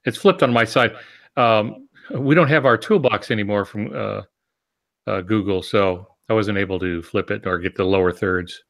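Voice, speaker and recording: A man's voice: fairly low pitch, moderate pace, fairly bright tone. An older man chatting casually as a podcast co-host. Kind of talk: casual conversation.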